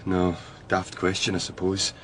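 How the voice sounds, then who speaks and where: A man's voice: low-pitched, completely flat delivery, slow pace, background noise. An actor playing a curious, downbeat male junior police officer, speaking casually in short clipped questions in a radio drama. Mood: grim, downbeat. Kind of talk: naturalistic radio drama acting.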